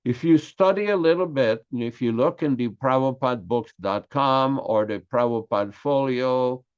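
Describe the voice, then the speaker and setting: A man's voice: mid-range voice, clear, resonant tone. An older man from Quebec with a Québécois French accent, French his first language, giving an earnest, emphatic class on scripture. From Quebec, Canada. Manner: earnest and emphatic, stressing key words slowly. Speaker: religious class speaker. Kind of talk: lecture on scripture. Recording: slightly dull lo-fi audio.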